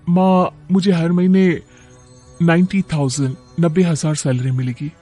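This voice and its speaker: A man voicing a polite, self-respecting woman of nearly thirty in an animated moral story, speaking earnestly. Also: fairly high pitch, unhurried, soft background music.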